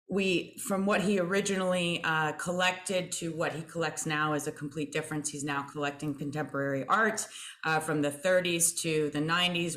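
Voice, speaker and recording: A woman's voice: low-pitched, a bit bright. A middle-aged woman from Belgium, an artist guest answering interview questions thoughtfully with many fillers and restarts.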